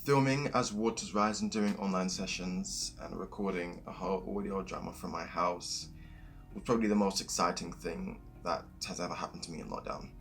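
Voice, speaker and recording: Deep-voiced young man over soft music. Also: normal speed, creaky. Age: young adult. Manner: repeats and rephrases phrases. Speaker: youth theatre actor. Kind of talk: informal interview-style reflection. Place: at home.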